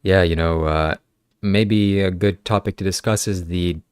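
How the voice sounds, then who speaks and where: A man's voice: low voice, fairly even intonation, a little slow, smooth, no echo, studio quality. A man whose first language is Ukrainian, hosting a casual podcast and earnestly reading viewer comments aloud. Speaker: podcast host. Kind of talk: casual livestream podcast chat.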